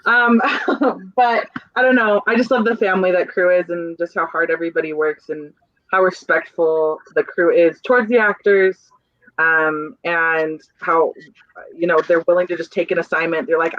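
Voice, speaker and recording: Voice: mid-range voice, fairly even intonation, moderate pace, dry recording, muffled recording. A young adult stage manager in a casual panel chat, talking earnestly and searching for words as she rambles. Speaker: stage manager on a theater crew.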